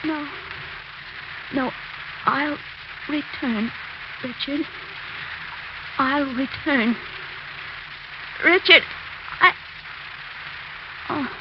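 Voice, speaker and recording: A frail, devoted wife on her deathbed in a 1940s radio melodrama. Her high voice is weak and faltering, drawn out very slowly. The old recording is muffled and noisy. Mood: faint, tender.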